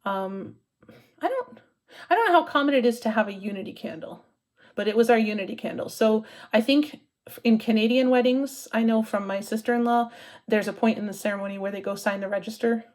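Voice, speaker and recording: A woman's voice: a little high, a little fast, darkish tone. A woman in her forties from Maine, chatting casually to camera as a cross-stitch vlogger and often rambling and correcting herself with lots of fillers.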